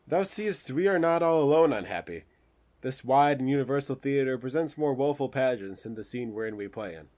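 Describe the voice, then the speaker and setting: An actor playing a courteous, generous exiled duke in a Shakespearean radio play, formal and courtly in delivery. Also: male voice, medium pitch, expressive, a little fast, darkish tone, lightly acted. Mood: benevolent, reflective.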